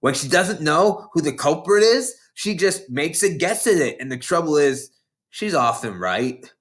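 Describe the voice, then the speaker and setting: A man's voice: fairly high pitch, sing-song, measured pace, fairly bright tone, pitched above the performer's own voice. A man voicing a boastful, battle-hardened schoolgirl prankster who tells dramatic war stories from a children's storybook. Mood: indignant, dramatic.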